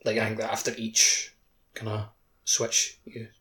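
A man in a casual video game podcast chat, speaking haltingly with lots of filler "like". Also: on the low side, completely flat delivery, sharp tone, rough recording. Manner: halting, peppered with "like". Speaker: podcast co-host.

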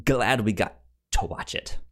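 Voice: medium pitch, unhurried. A podcast co-host chatting casually about a film, stammering and often repeating syllables and words.

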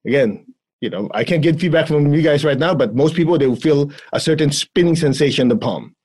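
A man with a Chinese accent teaching energy exercises in a podcast chat, playful and quick to laugh between calm instructions. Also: fairly high pitch, husky. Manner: playful, laughs often, breaks into giggles.

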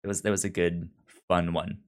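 A podcast co-host chatting casually about a show, haltingly, often repeating starts while searching for words. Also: low voice, flat delivery. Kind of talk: casual chat recapping a TV show.

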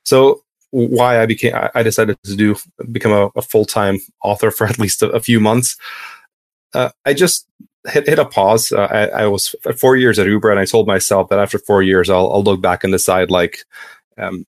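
A man in his thirties from Hungary, a podcast guest telling his story casually and haltingly, often restarting sentences. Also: lowish voice, fairly even intonation, no room sound.

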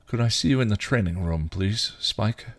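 A man's voice: on the low side, normal speed, pitched above the performer's own voice. A man voicing a proper middle-aged male mentor, tight and clipped in his speech. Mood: stern.

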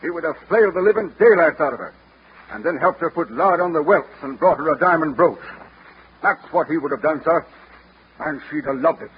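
An actor playing a pompous, courtly older general with a Southern American accent in a vintage radio drama. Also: male voice, on the high side, animated, brisk, slight background noise, close to an everyday voice. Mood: blustering. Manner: pompous, courtly, punctuated with "sir". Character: blustery, old-fashioned older Southern general and guardian. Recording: muffled old broadcast over loud music.

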